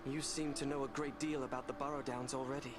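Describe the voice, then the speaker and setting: An actor playing an earnest, grateful young hero in a fantasy game, speaking formally and from the heart. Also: male voice, medium pitch, little pitch movement, quick pace, heavy background noise, close to an everyday voice. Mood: earnest, friendly. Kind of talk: video game cutscene acting. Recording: loud music behind the voice.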